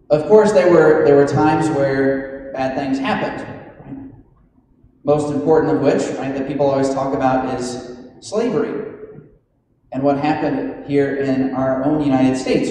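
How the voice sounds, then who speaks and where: Priest preaching in a very echoey church. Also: male voice, a little high, dark tone. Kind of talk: homily. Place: large stone church, indoors. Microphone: gooseneck pulpit microphone. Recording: very echoey church hall, noisy, distant.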